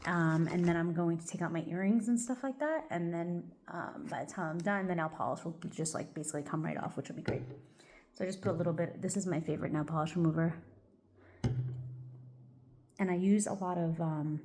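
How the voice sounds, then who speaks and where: Woman, lowish voice, chatty get-ready-with-me vlogger, rambling with lots of fillers, a bit congested. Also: a little fast, a little mellow, slight background noise. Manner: rambling, loose, full of "like" and "um". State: a little congested, stuffy. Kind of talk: casual get-ready-with-me chat.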